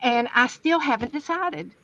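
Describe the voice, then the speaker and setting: West virginia lady rambling on a craft livestream, kinda high voice, dull dry audio. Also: expressive, normal speed, pushed and bright, no room sound. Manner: chatty, rambling storyteller. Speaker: guest crafter and streamer. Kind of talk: casual livestream interview chat. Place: home craft room. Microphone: livestream camera setup.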